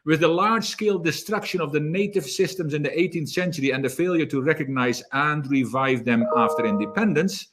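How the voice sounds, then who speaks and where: An energetic man speaking as a leadership coach in an online talk, often repeating words as he gathers his thoughts. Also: on the high side, brisk, hardly any pauses. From South Africa.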